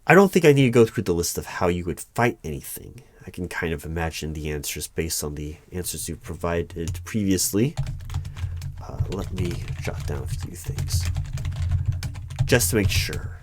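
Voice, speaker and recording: A man playing a wary, unflappable hiring manager in an audio roleplay interview, speaking in a measured, formal, wordy way with hesitant pauses. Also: low-pitched, lively intonation. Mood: negotiating, persuasive. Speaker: roleplay performer. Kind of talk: one-sided ASMR audio roleplay interview.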